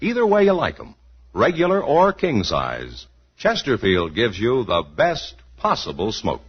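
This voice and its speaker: A man's voice: medium pitch, huge pitch swings, measured pace, heavy background noise. A man with an American accent formally and emphatically reading a scripted 1950s radio advertisement. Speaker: radio announcer. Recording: muffled vintage radio recording.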